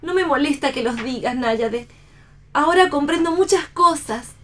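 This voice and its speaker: A woman's voice: high voice, lively intonation, normal speed, a little hiss or hum. An actress in a radio play voicing an elegant, privileged young woman disillusioned in love, melancholy and theatrical. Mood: resigned, regretful.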